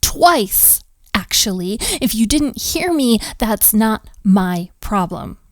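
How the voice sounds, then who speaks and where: A woman playing a flustered, overworked, sharp-tongued hotel maid in a romantic roleplay audio drama, with a sarcastic, exasperated, sighing delivery. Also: medium pitch, animated, takes it slowly, no room sound, studio quality. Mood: indignant, exasperated.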